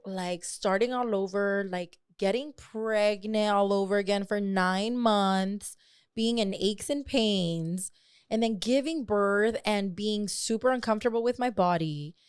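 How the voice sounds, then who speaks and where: Casting: female podcast co-host, late 20s, smooth clear voice, dry close sound, frank slangy chat with Spanish mixed in. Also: mid-range voice, measured pace, no echo. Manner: candid, slangy, code-switches into Spanish, swears freely. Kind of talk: casual sibling podcast chat.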